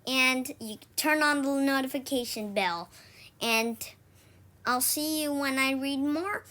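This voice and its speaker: A woman reads a children's story aloud in a fairly high voice. Her pace is very slow and halting. She often trips on a word and says it again before moving on. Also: bright, forceful tone. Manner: halting delivery, stumbles and repeats words. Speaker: storybook reader for an online read-aloud.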